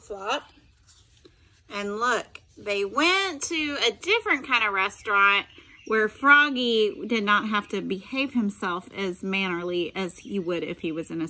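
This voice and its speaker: Middle-aged female storyteller for children; very lively intonation, unhurried, explains things simply. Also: medium pitch. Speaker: special education teacher reading aloud. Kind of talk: picture-book read-aloud with asides to children.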